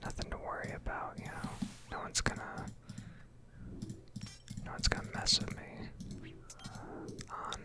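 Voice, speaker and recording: Woman, soft whisper, ASMR gaming commentary, casual and rambling, hesitant phrasing, close mic. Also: unhurried, long pauses. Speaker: gaming video host.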